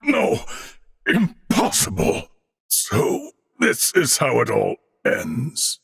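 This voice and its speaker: A man's voice: mid-range voice, wildly swooping pitch, very slow, gravelly, fairly bright tone, slightly rough, heavily acted. An actor playing a formal, disciplined, proud and loyal swordsman in a video game, speaking in theatrical, archaic phrasing. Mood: grave, vengeful.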